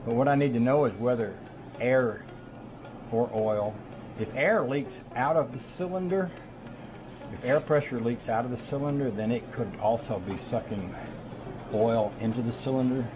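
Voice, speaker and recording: A man's voice: mid-range voice, moderate pace, noisy background. A man talking casually through a car repair, thinking aloud in a folksy way. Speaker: home mechanic vlogger. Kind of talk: casual workshop narration.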